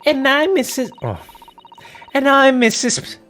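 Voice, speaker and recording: A man's voice: very high voice, sing-song, slow, a bit bright, slight background noise, pitched above the performer's own voice, full character voice. A man voicing a proud young newlywed Victorian wife in a video game, polite and chatty.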